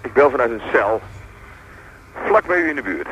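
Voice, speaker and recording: A man's voice: medium pitch, animated, normal speed, lo-fi sound, noisy background, natural voice. An actor playing a defiant, distrustful young man on the run, mocking as he speaks on a call in a radio drama. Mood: mocking, wary. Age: young adult. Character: wary, defiant young male fugitive on the phone.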